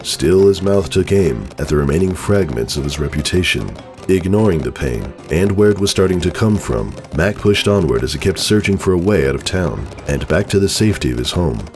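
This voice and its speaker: A man with an extremely low voice narrates a story in a dramatic scripted reading. Music plays behind him. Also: lively intonation, a little fast, a little mellow.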